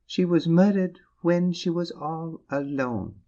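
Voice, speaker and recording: A man playing a small, gentle priest in an audiobook drama, speaking gravely and earnestly with quiet insistence. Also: a little high, dull audio. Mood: grave, certain. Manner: slow, solemn, earnest.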